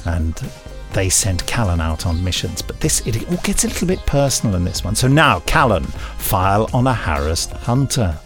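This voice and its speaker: A man's voice: low voice, sing-song, moderate pace, fairly bright tone, soft background music. A man co-hosting a podcast in casual, jokey chat, playfully stumbling over his words. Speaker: podcast co-host and actor.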